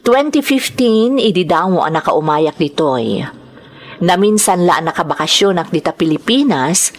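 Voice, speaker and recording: A woman with an Ilocano accent reading from a script as host of a radio drama program. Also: lowish voice.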